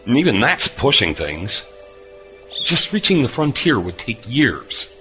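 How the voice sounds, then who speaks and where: An actor playing a gruff, weary former starship captain turned repairman in a sci-fi audio drama, dry, curt and sardonic. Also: medium pitch, very animated, measured pace, music in the background. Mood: sardonic, guarded. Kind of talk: science fiction audio drama acting.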